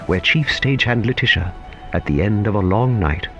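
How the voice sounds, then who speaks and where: An actor playing the narrator of a whimsical radio play, telling the story in a measured storybook way. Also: male voice, fairly low pitch, lively intonation. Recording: dull sound with music underneath. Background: music.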